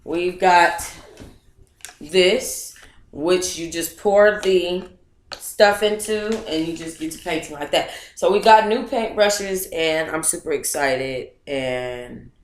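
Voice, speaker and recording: A woman's voice: on the low side, slow pace. Chatty woman in an echoey room. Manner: expressive, chatty, breaks off to talk to listeners. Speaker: book club host reading aloud. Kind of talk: casual reading of a memoir, then chat with viewers.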